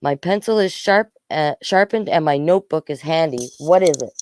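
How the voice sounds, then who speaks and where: A storyteller playing an eager, clear-voiced schoolgirl reporter. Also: mid-range voice, lively intonation, normal speed, darkish tone, no room sound, lightly acted. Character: eager, conscientious young girl student reporter.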